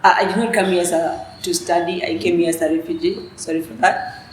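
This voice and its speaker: Soft-spoken young woman, echoey room. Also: fairly low pitch, steady tone, fairly quick. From South Sudan. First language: Dinka. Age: young adult. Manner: soft-spoken, hesitant with many restarts. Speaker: student leader guest. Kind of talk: interview answers. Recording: echoey room with faint noise.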